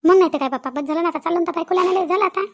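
A woman's voice: very high voice, very fast. A woman playing a middle-aged, insistent village sister-in-law in a Marathi cartoon comedy, with a Vidarbha accent, coaxing and scolding repetitively. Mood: exasperated scolding. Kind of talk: cartoon family comedy dialogue.

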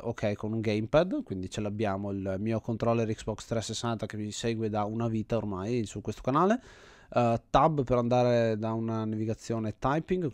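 Male gaming host, average-pitched voice, enthusiastic thinking-aloud commentary, close dry recording. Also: darkish tone, no room sound. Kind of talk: casual let's play commentary.

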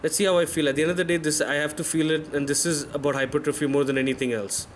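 A man's voice: a little high, little pitch movement, very fast, slight background noise. A man in his thirties, a fitness vlogger, giving candid, self-correcting voice-over commentary on his own workout footage. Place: voice-over, not recorded in the pictured gym.

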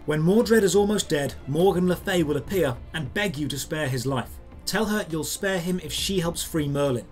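Higher-pitched man narrating a game guide. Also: moderate pace. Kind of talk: scripted step-by-step tutorial narration. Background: background music.

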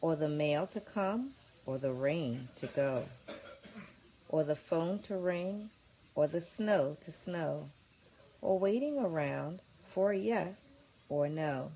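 A woman's voice: sits low, animated, slow, long pauses, dark tone, a little hiss or hum, low-quality recording. A woman reading a rhyming picture book aloud. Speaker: volunteer reader.

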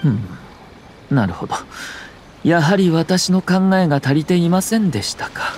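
A man voicing a calm, courteous and calculating young nobleman in an anime-style game, speaking formally with subtle mockery. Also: on the high side, slight background noise, close to an everyday voice. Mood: polite mockery. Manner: polite, composed, measured formal speech.

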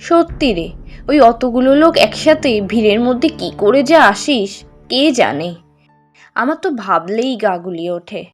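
A woman's voice: a little high, lively intonation, normal speed, soft background music, close to an everyday voice. A woman voicing a snobbish, disdainful young college girl in a cartoon, with a haughty, mocking way of talking. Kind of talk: Bengali animated story dialogue.

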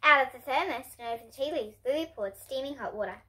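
A young girl of about ten to twelve reading a children's storybook aloud in an expressive way. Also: high-pitched, fast talker. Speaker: host reading picture books for kids. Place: indoor room in front of bookshelves.

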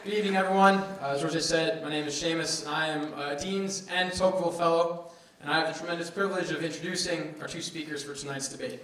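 A young college man reads speaker introductions from his notes, loud and a little high in pitch. The lecture hall around him gives his voice a roomy echo. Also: fairly even intonation, quick pace, few pauses. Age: young adult. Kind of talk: reading formal introductions. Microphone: handheld microphone at a lectern.

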